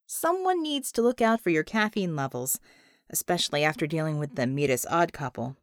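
A woman's voice: sits low, sing-song, fairly quick, no echo, voice pushed down. A woman voicing a practical, kindly but skeptical female comrade in an audiobook, with dry humor. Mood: dryly caring.

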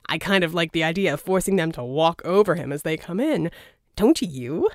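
A woman's voice: on the low side, expressive, normal speed, dry recording, studio quality, pitched below the performer's own voice, natural voice. A woman voicing a cheerful, menacing male villain tycoon in an audiobook, with theatrical mock charm. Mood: smug, cheerful.

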